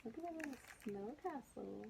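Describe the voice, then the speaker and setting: A woman's voice: on the high side, lively intonation, moderate pace, creaky, very noisy. A woman reading a children's picture book aloud casually, chatting to young listeners with questions and asides. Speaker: storybook reader.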